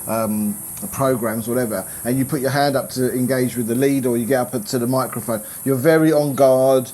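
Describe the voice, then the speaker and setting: A man, a business coach, talking in a relaxed conversation, rambling and restarting his sentences, growing animated when he makes a point. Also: medium pitch, moderate pace. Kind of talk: casual conversation.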